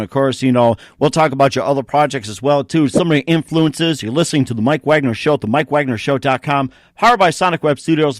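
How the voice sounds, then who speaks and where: A man's voice: a little high, dry recording, clean studio sound. A man hosting a talk show in a casual, radio-style way.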